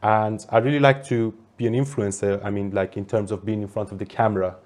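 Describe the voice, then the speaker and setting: Iran-born commentator, 30s, lower voice, earnest and fluent as an interviewee. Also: male voice, steady tone, quick pace, darkish tone. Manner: earnest, fluent, talks at length. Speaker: political commentator. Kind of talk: interview answers.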